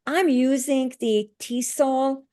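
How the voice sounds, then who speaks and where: A woman's voice: high voice, takes it slowly. Woman, earnest and explanatory. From Hungary. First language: Hungarian. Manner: earnest, explanatory, lively with many fillers. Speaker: guest author and educator. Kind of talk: podcast interview.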